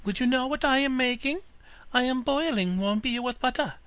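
A man's voice: extremely high pitch, normal speed, a bit bright, no room sound, voice pushed up. A man voicing a female house cat who serves as a fox's maid, answering in sing-song rhymes. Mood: eager. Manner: sing-song rhyming replies. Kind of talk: storybook reading.

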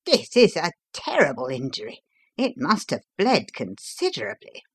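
A woman's voice: sits low, huge pitch swings, normal speed, fairly bright tone, slightly rough, exaggerated voice. A woman voicing a courteous male doctor in a storybook, concerned, firm and professional. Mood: reassuring, concerned.

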